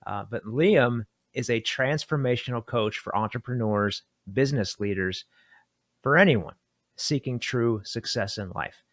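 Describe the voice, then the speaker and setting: A man's voice: mid-range voice, a little slow, fairly bright tone, dry recording. A man hosting an interview podcast, delivering intros and questions to his guest. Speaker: podcast host.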